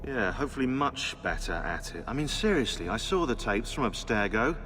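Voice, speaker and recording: An actor playing a sarcastic, condescending archivist in a game cutscene. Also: male voice, mid-range voice, very animated, a little fast, sharp tone, big-room sound, a little hiss or hum. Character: sarcastic snobbish man, dry and condescending. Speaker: archivist and tactical support.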